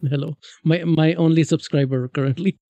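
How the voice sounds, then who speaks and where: A man hosting a livestream in casual conversation, speaking in a hesitant, halting way. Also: fairly high pitch, fairly even intonation, creaky, dark tone, no room sound. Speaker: livestream host. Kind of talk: casual chat.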